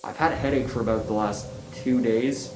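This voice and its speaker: A man playing a quiet loner technician who is out of sorts and subdued. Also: medium pitch, steady tone, moderate pace, natural voice. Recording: echoey room, clear noise.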